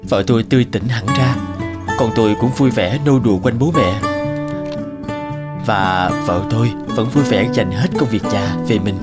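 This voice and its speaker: Low-pitched man narrating a story. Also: normal speed. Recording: music bed under the voice.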